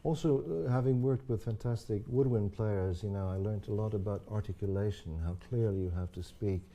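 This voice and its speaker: A man's voice: lowish voice, fairly even intonation, brisk, mellow. An older man, a native Croatian speaker and horn teacher, talking in a thoughtful interview with frequent restarts and agreeing yeahs. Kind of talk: live online interview.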